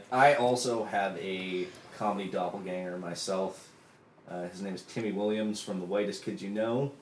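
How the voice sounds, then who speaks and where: A man with a low voice, co-hosting a comedy podcast and telling dry, joking stories in casual chat. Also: reverberant, a little hiss or hum. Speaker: podcast co-host.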